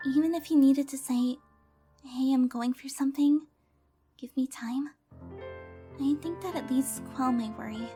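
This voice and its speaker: A woman's voice: high voice, unhurried, pauses often, mellow. A woman playing an earnest, wounded and insecure young woman in a dramatic audio drama, with music behind her. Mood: tentative, vulnerable.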